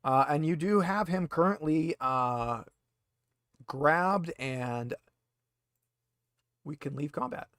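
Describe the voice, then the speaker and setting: Guy game mastering, kinda high voice, chatty, very dry recording. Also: slow, pauses often, a bit bright, no echo. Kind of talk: narrating a tabletop game, casual.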